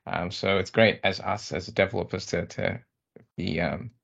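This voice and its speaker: Gravelly-voiced man co-presenting. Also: deep voice, fairly even intonation, moderate pace, fairly bright tone. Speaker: developer co-presenter. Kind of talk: informal tech presentation. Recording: dull, muffled lo-fi audio.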